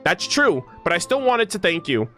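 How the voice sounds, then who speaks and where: A man reads lines for a courteous male guild official in a video game. He sounds earnest and eager to please, with lively pitch movement and music behind him. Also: normal speed, full character voice. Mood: grateful, polite.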